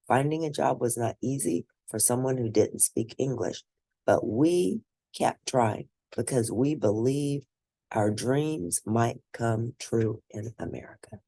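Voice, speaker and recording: A woman reading a scripted first-person story aloud, expressive and deliberate, with a husky, gravelly voice. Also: a bass voice, dry recording. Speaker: read-aloud narrator.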